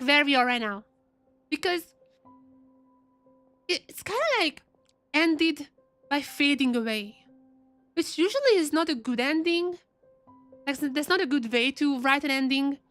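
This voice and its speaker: Female game streamer, high voice, chatty and casual, repeats herself for emphasis, music underneath. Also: fairly bright tone. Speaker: live streamer reacting to a game. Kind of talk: casual live game reaction commentary.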